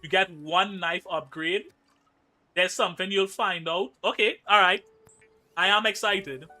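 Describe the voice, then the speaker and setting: A young man from Trinidad and Tobago with a Trinidadian accent chats loosely while playing a game on stream. His voice sits a little high and rings very bright. He jokes and reads viewer messages aloud over faint game music. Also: fairly even intonation, measured pace. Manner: chatty, joking, reads chat aloud. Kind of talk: casual live-stream gaming chat.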